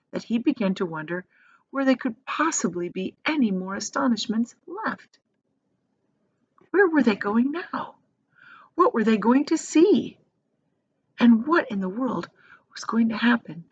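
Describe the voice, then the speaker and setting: Very animated woman reading a storybook. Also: fairly high pitch, a little slow, long pauses, breathy edge, dry recording, dull audio. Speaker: storybook reader. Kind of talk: expressive children's read-aloud.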